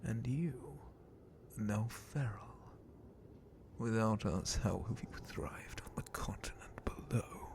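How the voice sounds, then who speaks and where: Man voicing a slow, whispering, sadistic ancient dragon. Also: on the low side, darkish tone. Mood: haughty, disdainful. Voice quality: breathy, gravelly whisper. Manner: menacing, condescending, drawn-out theatrical delivery. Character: ancient sadistic male dragon guard captain, possessive captor. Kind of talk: ASMR roleplay.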